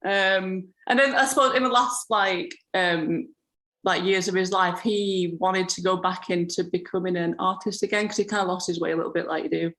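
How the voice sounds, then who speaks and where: A woman, telling stories in a relaxed, rambling way as a podcast guest. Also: on the low side, moderate pace. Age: 30s. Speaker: podcast guest, grower and florist. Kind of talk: casual interview chat.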